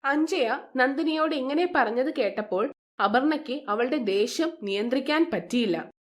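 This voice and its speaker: A woman's voice: sits high, normal speed. A woman storyteller reading a scripted narration of a moral story.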